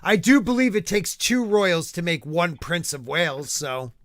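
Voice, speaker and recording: A man hosting a podcast in casual chat, loud and joking, reading out names from the chat. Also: high-pitched, sing-song, a little slow, no room sound, clean studio sound. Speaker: podcast host.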